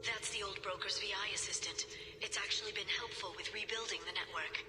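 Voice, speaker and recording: A woman voicing a gentle, earnest alien information broker in a video game, speaking sincerely and a little hesitantly. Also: mid-range voice, fairly even intonation, brisk, a little hiss or hum. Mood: warm, thoughtful. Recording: loud music behind the voice.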